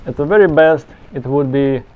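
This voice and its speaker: A man's voice: a little high, moderate pace, phone-quality sound. A man giving explanatory, semi-scripted narration as a video presenter. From Soviet Union.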